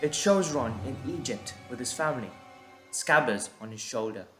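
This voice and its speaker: A man's voice: medium pitch, lively intonation, normal speed, pushed and bright. A man narrating a scripted audio drama in a storytelling style. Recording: roomy echo, background music.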